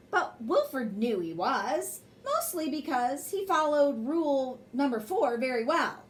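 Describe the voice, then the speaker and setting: A woman reading a children's picture book aloud as a bedtime story, in an expressive, measured way. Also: high voice, slight background noise.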